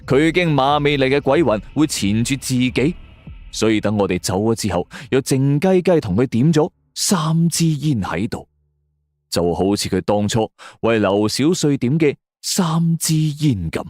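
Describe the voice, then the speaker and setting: A man's voice: medium pitch, expressive, a little slow, a little mellow, no echo, studio quality. A man with a Hong Kong Cantonese accent narrating a scripted suspense story in a theatrical, suspenseful storyteller's manner. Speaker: audio drama narrator. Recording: music bed under the voice. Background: background music.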